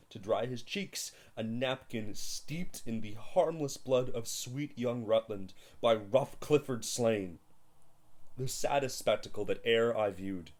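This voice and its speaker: An actor playing a solemn male chorus and bearer of grievous news, declaiming Shakespearean verse in a measured manner. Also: medium pitch, close to an everyday voice. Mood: mournful.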